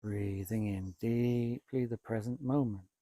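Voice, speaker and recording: A man leading a guided meditation, speaking calmly and deliberately in short phrases with long pauses between them. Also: fairly low pitch, no echo, studio quality. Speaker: meditation teacher, Buddhist monk.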